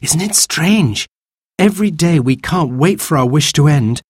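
A thoughtful young boy who muses aloud in a lively voice, as a man reading a storybook puts him on. The tone is husky and dry. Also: a little high, a little slow, dry recording, voice pushed up. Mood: musing.

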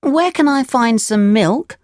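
A woman's voice: a little high, expressive, takes it slowly, a little mellow, no room sound, studio quality, voice pushed up. A woman playing an earnest, plucky young wooden puppet boy in a children's storybook. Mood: polite, pleading. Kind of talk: children's storybook character reading.

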